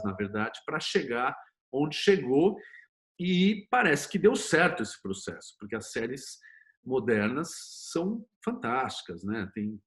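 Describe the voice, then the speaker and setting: A Brazilian man speaking as an interview guest, explaining things informally and thinking aloud in a conversational way. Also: mid-range voice. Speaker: interview guest, screenwriter and series producer.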